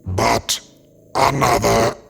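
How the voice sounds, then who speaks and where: Someone voicing a gravelly deadpan ship servant. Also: mid-range voice, extremely slow, faint music behind, exaggerated voice. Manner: deadpan, formal. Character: deadpan ship engineer servant, dutiful and gloomy. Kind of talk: audio drama acting.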